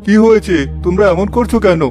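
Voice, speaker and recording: A man's voice: high-pitched, fast talker, darkish tone, soft background music, lightly acted. A man playing a poor, weary old village farmer and father in a cartoon, plaintive and resigned. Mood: concerned. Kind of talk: cartoon fairy tale dialogue.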